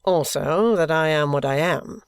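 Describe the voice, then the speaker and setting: Man reading a composed ex-soldier in a storybook, calm and dry, slightly high voice, close dry sound. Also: measured pace, clear, resonant tone, no room sound. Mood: wry. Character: composed, wry ex-soldier, middle-aged man. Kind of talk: audiobook reading.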